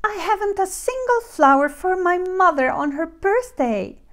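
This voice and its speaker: Woman doing a little storybook girl, high voice, polite n eager, childlike. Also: sing-song, a little slow, heavily acted. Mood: dismayed. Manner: exclaiming, childlike.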